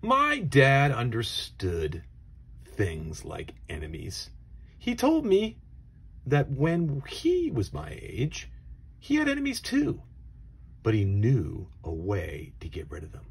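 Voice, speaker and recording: A man reading a children's storybook aloud in an animated, expressive way, with dramatic emphasis and hushed asides. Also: medium pitch, slow pace, vocal fry, husky. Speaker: storybook reader.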